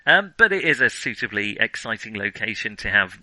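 Guy rambling about movies, very bright forceful voice, close dry sound, kinda amused. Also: lowish voice, sing-song, a little fast, no echo, low-quality recording. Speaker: film podcast host. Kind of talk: casual film discussion.

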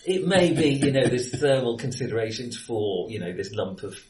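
A man's voice: mid-range voice, lively intonation, moderate pace, darkish tone, slight background noise. A man co-hosting a casual film discussion, thinking aloud in broken, nitpicking phrases. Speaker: podcast co-host. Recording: roomy echo, far from microphone.

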